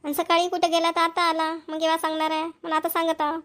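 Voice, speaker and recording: A woman plays a cartoon village mother who nags and scolds her children. Her voice is high and the words come very fast, in a rural Vidarbha Marathi accent. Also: monotone, a bit bright. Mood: nagging. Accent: Maharashtrian, Vidarbha Marathi. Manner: nagging, scolding. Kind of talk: cartoon family comedy dialogue.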